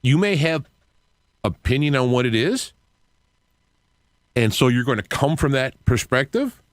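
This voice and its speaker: An older man, around sixty, hosting a radio show with an earnest, reflective, unscripted monologue in halting phrases. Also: medium pitch, expressive, no room sound. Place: cluttered home radio studio, indoors. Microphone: close studio microphone with headset.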